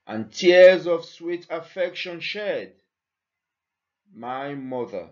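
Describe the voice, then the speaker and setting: A man speaks extremely slowly and deliberately, reciting in a poetry-reading style. His phrases are measured and set apart by long pauses. The recording is dull and a little muffled. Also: fairly high pitch, expressive. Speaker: church member reading a poem.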